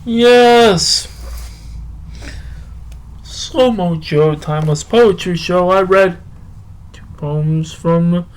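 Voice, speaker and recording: A man playing a slow, earnest poetry show host with a drawn-out, halting delivery. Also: high voice, clean tone, voice pushed up. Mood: slow, rambling. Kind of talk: halting poetry recital and rambling podcast talk.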